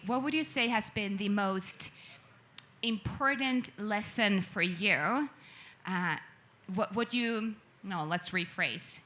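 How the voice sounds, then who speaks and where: A woman's voice: mid-range voice, bright, forceful tone. A woman from Sweden co-hosting a panel discussion, speaking in a reflective way and rephrasing her questions as she goes. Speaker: panel co-host. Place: event venue with an audience.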